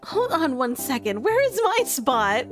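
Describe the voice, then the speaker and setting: A woman's voice: sits high, big pitch swings, unhurried, faint music behind, pitched above the performer's own voice. A woman voicing a combative little girl with a prim, demanding, indignant manner in a story game. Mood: outraged.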